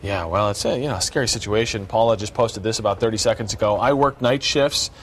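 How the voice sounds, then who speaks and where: A man's voice: on the low side, a little fast. A man with an American accent working as a TV news anchor, reading news and chatting casually with co-hosts. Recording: clearly noisy background ambience.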